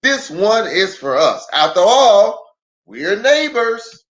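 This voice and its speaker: A friendly rustic fellow from a children's storybook, acted out by a man reading aloud. He talks in hearty, folksy backwoods phrases, pitched up high and swinging freely up and down. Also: very animated, slow, a bit bright, exaggerated voice. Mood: neighborly.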